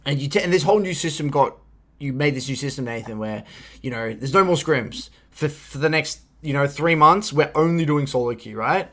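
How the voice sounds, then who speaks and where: A man co-hosting a podcast, talking in an animated, insistent way and acting out hypotheticals in casual debate. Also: on the high side, a little fast. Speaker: podcast co-host. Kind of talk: casual heated discussion.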